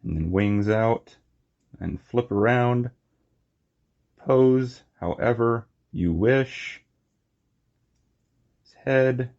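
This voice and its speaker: A man giving a casual, unscripted hands-on toy review, talking in short, halting phrases on a slightly muffled recording. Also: on the low side, gravelly, a little mellow. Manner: halting, short phrases while handling objects.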